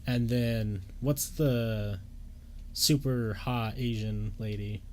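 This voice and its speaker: A young man co-hosting a casual podcast, easygoing, with his voice jumping up when he gets excited. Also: on the low side, takes it slowly, slight background noise. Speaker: podcast co-host. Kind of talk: casual chat.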